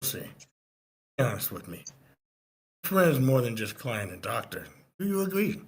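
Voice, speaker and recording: A man playing a gentle, probing therapist in his fifties at a screenplay table read, measured and questioning. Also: mid-range voice, very animated, husky. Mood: coaxing. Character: probing, gentle male therapist of fifty-six.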